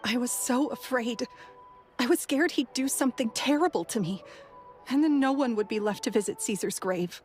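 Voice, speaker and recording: A woman's voice: a little high, moderate pace, a little mellow, faint music behind, lightly acted. Woman acting a timid, earnest young woman. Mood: fearful, confessing. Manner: hesitant, emotional, apologetic. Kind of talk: English video game voice acting.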